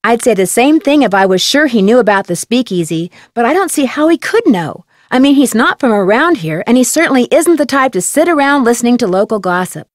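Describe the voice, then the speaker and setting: A woman's voice: on the high side, very animated, a little fast, few pauses, no room sound, studio quality. A woman playing a curious young amateur detective in a game, explaining things articulately and matter-of-factly. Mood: explanatory. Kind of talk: scripted game voice acting.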